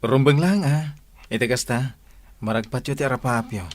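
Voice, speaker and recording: A man's voice: mid-range voice, moderate pace, no room sound, close to an everyday voice. A man with a Filipino Ilocano accent playing an earnest young suitor and dutiful son in a radio drama.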